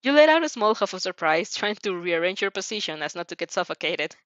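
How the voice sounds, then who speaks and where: A woman narrating fan fiction in an animated, informal way, stumbling now and then as she reads. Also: lowish voice, fast, dry recording, highs cut off. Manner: giggly, stumbles over reading.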